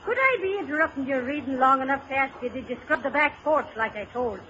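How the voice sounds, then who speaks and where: An actress playing a sharp-tongued housewife who scolds with dry, pointed sarcasm, in 1930s radio comedy style. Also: female voice, sits high, lively intonation, fast talker. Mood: stern scolding. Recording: muffled, noisy old radio recording.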